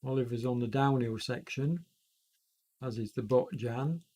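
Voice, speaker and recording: A man hosts live commentary on an online cycling race while fighting a chest cold, so his voice sounds congested. His pitch sits in a middle register. He rambles, fills gaps with ums, and corrects himself mid-sentence. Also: unhurried, a little mellow. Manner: rambling, self-correcting, many fillers. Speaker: e-racing commentator and streamer.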